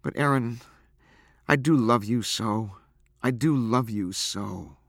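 A man's voice: mid-range voice, sing-song, normal speed, a bit bright, no room sound, clean studio sound, voice pushed up. A man voicing a practical, devoted teenage girl in an audiobook, scolding and insistent in her manner. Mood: hurt, loving. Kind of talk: audiobook character acting.

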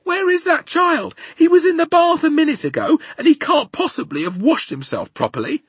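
A man voicing an exasperated mother in a children's story, shrill and scolding. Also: big pitch swings, moderate pace, no echo. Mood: exasperated yelling.

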